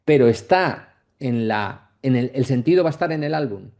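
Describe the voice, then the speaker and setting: Mellow-voiced man lecturing. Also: medium pitch, expressive. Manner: reflective, halting, frequent check-in tags. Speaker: academic lecturer. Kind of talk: masterclass lecture, speaking off the cuff.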